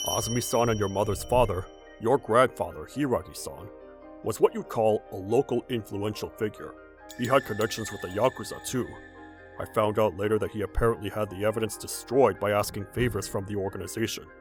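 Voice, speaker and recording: A man playing a calm, practical, protective middle-aged father in a manga dub, explaining gravely in measured phrases. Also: fairly low pitch, over music, close to an everyday voice. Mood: grave, explanatory. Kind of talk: anime-style manga dub acting.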